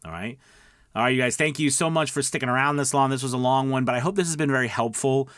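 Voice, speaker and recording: A man's voice: medium pitch, quick pace, a bit bright, no room sound, clean studio sound. A man giving an informal, emphatic coaching talk to viewers as a video host, often checking in conversationally. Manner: emphatic, conversational, repeats check-in words like okay and all right.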